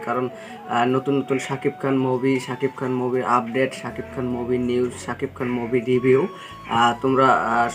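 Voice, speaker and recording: A man hosts a casual online video, speaking in a hesitant, repetitive way full of fillers. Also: medium pitch. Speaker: YouTube channel host. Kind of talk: casual video intro and outro to viewers. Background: music.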